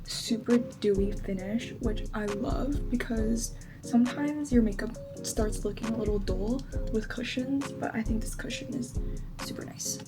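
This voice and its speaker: A woman's voice: fairly high pitch, unhurried. A woman in her twenties who grew up in China speaking Chinese first, chatting casually through a makeup routine with lots of likes and ums. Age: 20s. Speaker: beauty vlogger. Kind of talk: casual makeup vlog chat. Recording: background music under voice.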